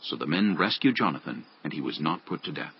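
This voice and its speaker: Very deep, gravelly male narrator. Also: animated, brisk, dull audio. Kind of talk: scripted dramatized Bible narration.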